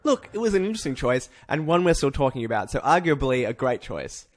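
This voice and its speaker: A man's voice: medium pitch, sing-song, fast talker. A man co-hosting a podcast in casual chat, with an informal, enthusiastic, sweary way of talking. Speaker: podcast host. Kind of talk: casual film chat, reviewing a list.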